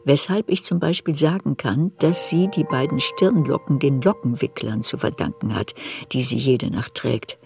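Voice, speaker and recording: An actor narrating a literary radio drama as a reflective narrator looking back on youth. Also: male voice, mid-range voice, moderate pace, gravelly, close to an everyday voice. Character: narrator recalling a girl's youth in reflective memoir. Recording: studio radio drama with music.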